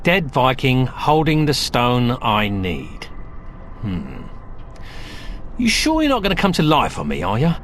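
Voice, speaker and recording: A man's voice: medium pitch, huge pitch swings, measured pace, long pauses, fairly bright tone, slight background noise. An actor playing a cocky wisecracking male bard adventurer with a sarcastic, mocking manner in a video game cutscene. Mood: musing.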